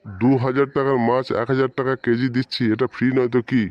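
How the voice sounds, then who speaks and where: A man's voice: mid-range voice, little pitch movement, fast, dry recording, dull audio, lightly acted. A man voicing a shrewd, firm middle-aged fish merchant and father-in-law in a cartoon comedy, businesslike in manner. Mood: firm, unyielding.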